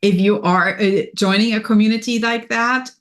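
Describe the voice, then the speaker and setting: A woman with a German accent, speaking as a coach and podcast guest in an energetic, upbeat way. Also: mid-range voice, moderate pace. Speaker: business and wellness coach, guest. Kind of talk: podcast interview.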